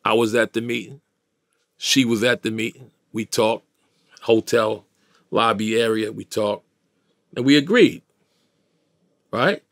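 A man in his fifties with a gravelly voice and a New York accent, telling a story in slangy, emphatic, streetwise interview talk. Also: lowish voice, unhurried, long pauses, fairly bright tone, no room sound, clean studio sound. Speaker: interview guest. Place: small indoor recording studio, dry sound.

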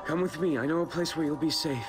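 A man's voice: on the high side, fast talker, close to an everyday voice. An actor with an American accent playing a determined young man surviving in a jungle adventure game. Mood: reassuring. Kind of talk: video game cutscene acting. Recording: loud background music.

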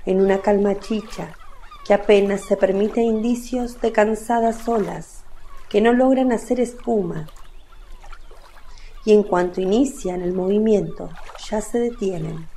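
A woman's voice: medium pitch, measured pace, warm tone, a little hiss or hum. A woman narrating a literary radio play from a script.

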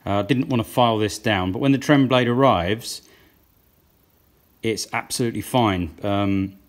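A man's voice: lowish voice, measured pace. A man in his thirties, plainly and practically talking through saw blade tests in his woodworking workshop. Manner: plain, practical, thinking aloud. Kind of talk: workshop tool review.

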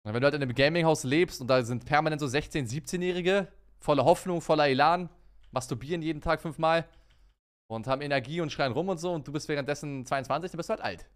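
A man's voice: fairly high pitch, fast, clear, resonant tone. A man in his twenties streaming a video game from his room on a headset, chatting casually and thanking viewers. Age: 20s. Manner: chatty, self-mocking, reads out chat and thanks subs. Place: home gaming room.

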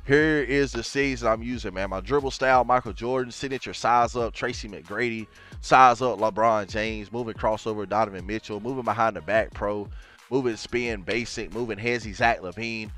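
Young male gaming streamer with a slightly low voice, stuffy from a cold; relaxed, chatty delivery to his viewers, faint music under him. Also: normal speed. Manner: laid-back, addresses viewers as y'all, fillers like man and bro. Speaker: gaming YouTuber. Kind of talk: casual game walkthrough. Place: home gaming setup, gaming chair.

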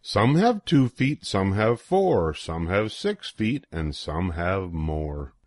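An older man reading a children's picture book aloud in an expressive, sing-song way with deliberate pauses. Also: low voice, no room sound, studio quality. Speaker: storybook reader.

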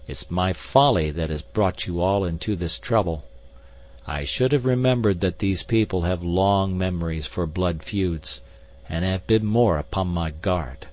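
Guy narrator doing a tough english lord explorer, clipped, forceful, lowish voice. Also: measured pace. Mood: bitter. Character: bold English lord, iron-nerved adventurer, grim and self-reproachful.

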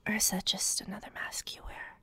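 A woman playing a teasing, obsessed female detective in a whispery, deliberate ASMR roleplay. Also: sharp tone, close to an everyday voice. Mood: teasing, probing. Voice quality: breathy, whispery. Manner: slow, deliberate, teasing, pausing. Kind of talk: ASMR roleplay, scripted intimate monologue.

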